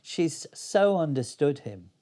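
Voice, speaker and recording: A man's voice: fairly high pitch, very animated, slow pace, dark tone. Thoughtful older man in conversation. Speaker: interview guest, playwright and actor. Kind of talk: reflective interview conversation.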